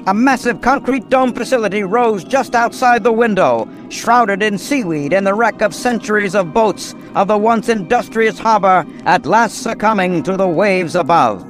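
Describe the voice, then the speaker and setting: A man's voice: high-pitched, normal speed, few pauses, over music, low-quality recording. A man narrating an old-time radio mystery from a script in a theatrical, portentous manner.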